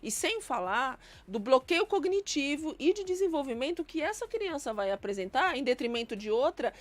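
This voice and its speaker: A woman's voice: high voice, big pitch swings, moderate pace, no room sound. A woman, a guest psychologist, explaining earnestly and stressing her points with emphatic lists. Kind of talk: explanatory panel talk.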